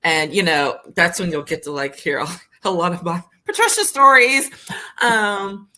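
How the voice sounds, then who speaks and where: Bright-voiced woman chatting as host. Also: on the low side, lively intonation, normal speed. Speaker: co-host and interviewer. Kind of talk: casual livestream chat.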